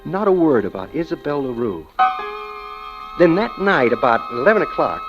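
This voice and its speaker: Casting: obsessive, smitten American bank clerk, lively highish voice, narrating vintage radio drama over music on a muffled recording. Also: male voice, normal speed. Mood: brooding, confiding. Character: smitten, obsessive male bank clerk telling his story. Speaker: narrator and lead actor.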